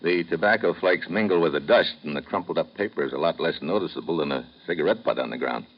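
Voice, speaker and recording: A man's voice: very deep voice, fast, breathy edge. An actor playing a hard-boiled American secret agent who narrates his own case in a clipped, wry, matter-of-fact way, in a vintage radio drama. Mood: narrating. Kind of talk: 1950s radio drama acting. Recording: dull vintage radio broadcast with music.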